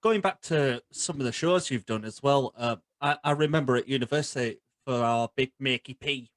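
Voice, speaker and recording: A man's voice: mid-range voice, normal speed, no echo, clean studio sound. A young man hosting a casual interview, slightly hesitant as he frames his questions. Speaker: podcast host.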